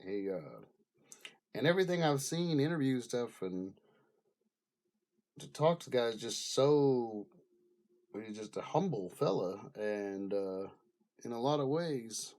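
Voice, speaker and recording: Halting, casual male vlogger. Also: medium pitch, expressive, darkish tone. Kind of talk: rambling casual commentary to camera.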